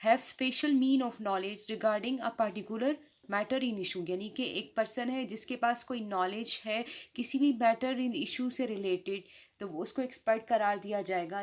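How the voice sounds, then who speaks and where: A woman with a Pakistani accent lecturing informally on legal articles, explaining step by step and slowing for English terms. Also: mid-range voice. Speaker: law lecturer.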